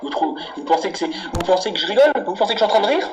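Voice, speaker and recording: A man's voice: high voice, big pitch swings, racing. A man putting on a menacing, candy-obsessed angry customer in a prank phone call, ranting and swearing insistently. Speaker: prank caller. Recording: very noisy phone prank recording.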